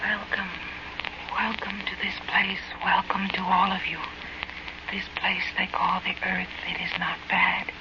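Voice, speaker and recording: A woman playing a detached, measured alien intelligence that speaks through a human woman's voice in an old-time radio horror play. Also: medium pitch, little pitch movement, lightly acted. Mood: coldly welcoming. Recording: noisy, muffled old radio broadcast.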